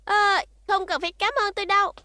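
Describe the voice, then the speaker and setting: A woman voicing a headstrong, eager little robot girl in a cartoon dub, pushy and excitable. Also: extremely high pitch, fast talker, a little hiss or hum. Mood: cheerful. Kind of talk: cartoon dubbing. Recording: music behind the dialogue.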